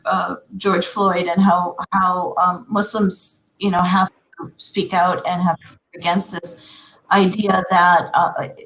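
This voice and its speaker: A woman's voice: mid-range voice, low-quality recording. A woman in her forties from Minnesota with a Minnesotan accent, an educator speaking earnestly on a panel with frequent ums and restarts. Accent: Minnesotan American. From Minnesota, USA. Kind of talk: conversational panel discussion.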